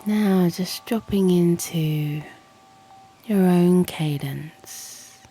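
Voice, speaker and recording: A woman guiding a meditation in a soothing, hushed, gently encouraging way, her soft breathy voice often close to a whisper. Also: lowish voice, very slow. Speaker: yoga nidra guide and channel host. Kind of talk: guided meditation. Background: soft music.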